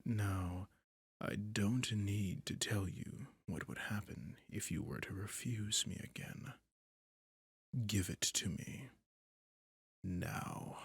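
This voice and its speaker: A man voicing a possessive, sadistic male vampire who is cruelly seductive, in an ASMR roleplay, talking slowly and domineeringly in a husky, often whispered voice close to the mic. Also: fairly low pitch, dry recording, exaggerated voice. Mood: menacing. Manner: slow, purring, domineering.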